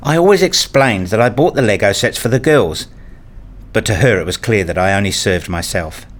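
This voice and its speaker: Low-voiced man narrating a reflective story. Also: animated, moderate pace. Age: middle-aged. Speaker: narrator and lead actor playing a father. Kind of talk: scripted first-person story narration with acted dialogue.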